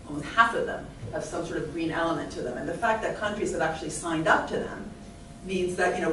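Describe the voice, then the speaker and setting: Low-voiced woman with an American accent, in an echoey hall. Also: distant mic, a little hiss or hum. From Italy. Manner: animated, fluent, many "you know" fillers. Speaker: economics professor, panel speaker. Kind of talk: public talk at a panel event. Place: large echoey event hall.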